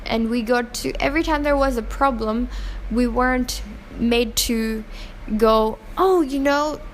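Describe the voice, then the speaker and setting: A woman's voice: fairly high pitch, measured pace, slight background noise, low-quality recording. A woman from Pakistan who grew up in Hong Kong, giving earnest, opinionated interview answers with fillers and restarts. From Pakistan, raised in Hong Kong.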